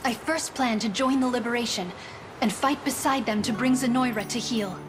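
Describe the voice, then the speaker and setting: A woman's voice: normal speed, background noise, lightly acted. A woman voicing an earnest, proper young noblewoman who dreams of knighthood, speaking formally in a video game. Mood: resolute, earnest. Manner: formal, high-flown, earnest diction. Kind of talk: scripted video game voice acting.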